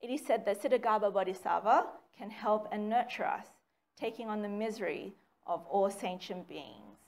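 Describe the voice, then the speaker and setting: Woman, young adult, reading from notes, measured pace, small room, far camera. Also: medium pitch, gravelly. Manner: measured, reads from notes with occasional ums. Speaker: speaker giving a dharma talk. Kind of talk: reading a prepared talk. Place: small meeting room with a slide screen. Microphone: far camera, no mic seen.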